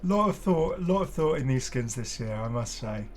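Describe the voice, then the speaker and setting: A man hosting a casual game showcase, talking in an enthusiastic, rambling way with halting explanations. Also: mid-range voice, animated, slight background noise. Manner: enthusiastic, effusive. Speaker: gaming video creator and host. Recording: music playing behind the voice. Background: clear background music.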